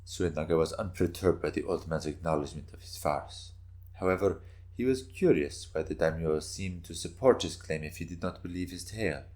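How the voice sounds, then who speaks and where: Man, narrator, extremely deep pitch, scripted dramatic storytelling. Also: fairly quick, darkish tone.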